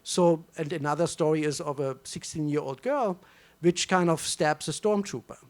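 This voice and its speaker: Brief: German-accented male academic, slightly high voice, halting and thoughtful. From East Germany. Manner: hesitant, many fillers and restarts. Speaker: panelist, history professor. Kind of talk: panel discussion.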